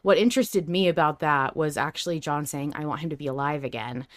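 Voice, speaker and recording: A woman's voice: low-pitched, fairly quick, no echo, clean studio sound. A woman co-hosting a podcast, chatting casually and enthusiastically about a show she follows, with emphatic delivery. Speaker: podcast co-host. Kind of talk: casual fan discussion.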